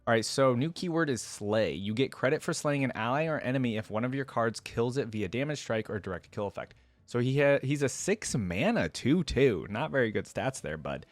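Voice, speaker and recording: A man hosting a reaction video, giving informal, excitable commentary and thinking aloud as he goes. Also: mid-range voice, fairly quick, no echo. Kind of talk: casual reaction commentary with some reading aloud.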